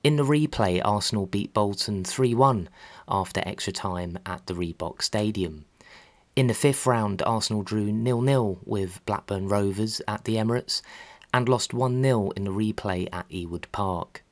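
A man reading scripted documentary narration about sport in a measured, factual way. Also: fairly low pitch. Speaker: narrator of a football history channel.

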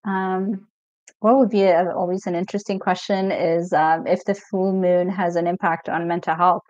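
A woman's voice: on the low side, normal speed, no echo. Chatty woman on dull, dry stream audio. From Ethiopia. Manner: relaxed, chatty, reads viewer comments aloud with many fillers. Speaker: mental health coach. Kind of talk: live stream Q&A.

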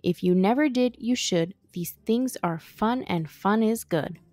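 A woman reading a rhyming children's picture book aloud in a playful, animated storytelling manner. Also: mid-range voice, measured pace. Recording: music behind the voice. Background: background music.

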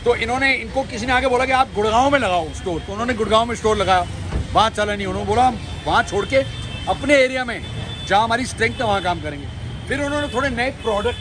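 A middle-aged man co-hosting a food vlog, telling stories in an animated, emphatic way on an outdoor street. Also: very high voice, fast, noisy background. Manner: animated storyteller, explains with emphasis. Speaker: food show co-host. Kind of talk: casual storytelling in a food vlog. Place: busy street market outdoors. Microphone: phone camera at close range.

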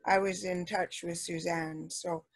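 A woman on a video call sharing memories in a rambling way, with many pauses and restarts. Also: lowish voice, little pitch movement, dry recording. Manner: rambling, many fillers and restarts, warm recommendations. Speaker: participant. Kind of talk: casual chat on a video call.

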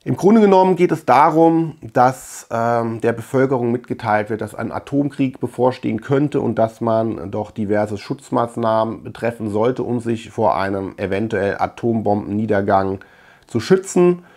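A middle-aged man giving a casual film review to camera as a host, halting as he searches for words. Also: mid-range voice, a little mellow. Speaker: host of a film collection channel. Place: small room lined with shelves of collectibles.

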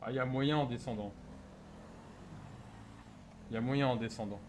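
A man's voice: mid-range voice, moderate pace, darkish tone, slight background noise. A man chatting casually over a video game, blunt and provocative in the way he talks. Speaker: gamer, video creator trolling in online roleplay. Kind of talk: casual gameplay commentary and banter.

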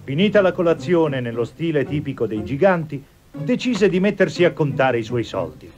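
A man's voice: on the high side, very animated, moderate pace. A man reading scripted storybook narration for a cartoon fairy tale. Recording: dull lo-fi TV audio, music behind.

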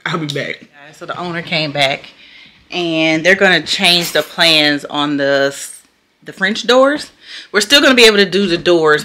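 A woman's voice: deep voice, pushed and bright, slight background noise. A middle-aged woman vlogging casually to a phone camera, rambling and chatty, swearing offhand and laughing often. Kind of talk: casual vlog chat to camera.

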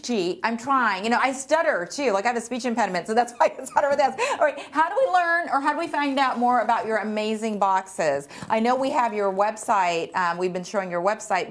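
Woman tv host, chatty, laughs at herself, middle-pitched voice, studio show. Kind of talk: hosting a business interview show.

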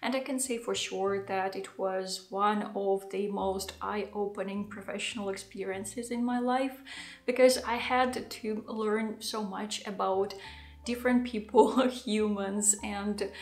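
A woman in her thirties, not a native English speaker, talking to the camera at home in a thoughtful, unhurried vlog with soft laughs. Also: mid-range voice, fairly even intonation. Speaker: vlogger. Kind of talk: casual talking-head vlog. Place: home living room, indoors. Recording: echoey room.

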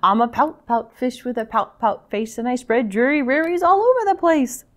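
A woman's voice: sits high, moderate pace, voice pushed up, natural voice. A woman voicing a glum, mopey male fish from a children's picture book, in a sing-song rhymed lament. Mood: glum, resigned.

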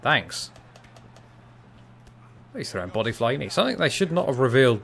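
Gravelly young man streaming a game. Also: mid-range voice, very animated, moderate pace, soft background music. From half Cypriot. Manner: chatty, reacts loudly to the game, laughs, chats with viewers. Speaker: gaming streamer. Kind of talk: casual live-stream commentary. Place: small room at home.

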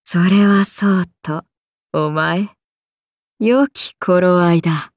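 A woman's voice: lowish voice, takes it slowly, no room sound, clean studio sound. A woman voicing a haughty, amused and predatory ancient serpent-god oni in a game, speaking in archaic, imperious, languid phrases. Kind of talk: Japanese game character voice lines.

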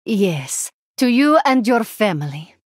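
A woman voicing a solemn, devout alien priestess of the light for a video game, formal and measured in her phrasing. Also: mid-range voice, sing-song, slightly rough, no echo, clean studio sound, heavily acted. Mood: subdued, grave. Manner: formal, measured, deliberate phrasing without contractions. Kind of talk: video game character voice lines.

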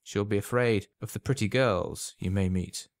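A man voicing a sly, knowing old potion seller in a storybook reading, unhurried, insinuating and emphatic. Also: lowish voice, no room sound, studio quality, lightly acted. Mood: persuasive.

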